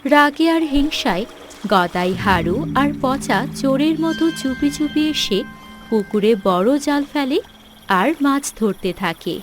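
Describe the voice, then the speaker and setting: Female narrator for a village tale: high voice, unhurried delivery, music clearly underneath. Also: lively intonation. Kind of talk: scripted story narration.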